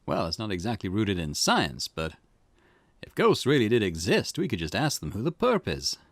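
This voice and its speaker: Man playing an eager young cop sidekick, animated. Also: fairly low pitch, moderate pace, dry recording, exaggerated voice. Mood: eager, chatty. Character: eager, breezy junior police detective, male.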